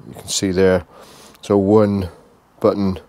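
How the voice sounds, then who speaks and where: A British man giving a casual, unscripted walkthrough tour, speaking haltingly and repeating phrases as he goes. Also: sits low, flat delivery, mellow, no room sound. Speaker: vlogger giving a motorhome tour.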